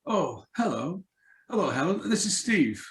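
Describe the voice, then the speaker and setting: A man's voice: high voice, sing-song, a little slow, fairly bright tone, echoey room, heavily acted. A man acting a cynical, menacingly polite blackmailing ex-husband in an old-style radio suspense play read over a video call. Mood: smooth menace. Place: remote live reading over video call.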